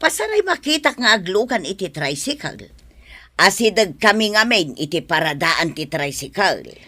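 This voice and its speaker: A lively, gossipy village woman. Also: medium pitch, normal speed, no room sound. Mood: gossipy, pert. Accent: Ilocano. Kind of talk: radio drama acting.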